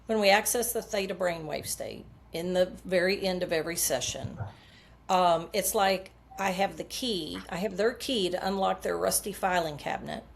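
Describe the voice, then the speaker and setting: Low gravelly-voiced woman coach. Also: moderate pace, a little hiss or hum. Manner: earnest, explains with homely metaphors. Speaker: subconscious coach, guest. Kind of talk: podcast interview answers.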